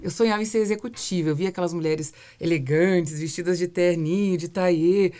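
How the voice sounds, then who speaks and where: A woman's voice: on the low side, fairly quick, dark tone. Brazilian woman hosting a casual podcast. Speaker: podcast host. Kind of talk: casual conversational interview.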